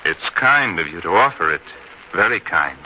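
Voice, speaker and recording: A man playing a kindly, reverent disciple, earnest and deliberate, in an old-time radio drama. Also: low-pitched, big pitch swings. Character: kindly, reverent male disciple, gently authoritative. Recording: dull lo-fi radio sound, faint noise.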